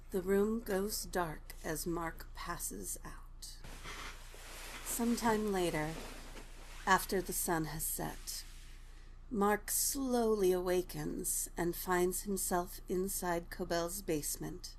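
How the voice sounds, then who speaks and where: A woman's voice: lowish voice, slow pace, slight background noise, rough recording. A woman reading stage directions as scripted narration for a dramatic table read. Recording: music playing behind the voice.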